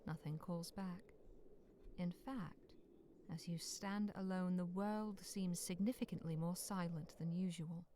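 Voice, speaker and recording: A woman reading scripted dramatic story narration in a measured, solemn, sometimes hushed way. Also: on the low side, steady tone, a little hiss or hum. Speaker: narrator of a visual novel.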